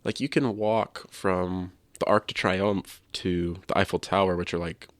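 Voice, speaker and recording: A man's voice: low voice, steady tone, unhurried, no room sound. A man with a stuffy nose, chatting casually as a podcast co-host. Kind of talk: casual chat.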